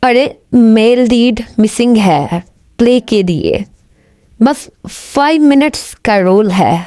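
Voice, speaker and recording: Woman acting a very slow, mellow-voiced young student. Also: a little high, lively intonation, dry recording, studio quality. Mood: pleading. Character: young female university student organising a play. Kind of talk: soft audio roleplay acting.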